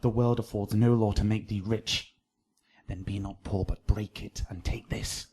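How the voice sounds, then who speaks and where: A man's voice: on the low side, steady tone, moderate pace, warm tone, breathy edge. An actor playing a passionate, desperate young nobleman, declaiming intensely in a Shakespearean radio drama. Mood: bitter.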